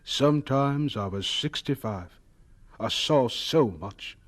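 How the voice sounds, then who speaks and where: An actor playing a humble elderly professor looking back on his life in a radio drama, gentle and wistful. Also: medium pitch, slow.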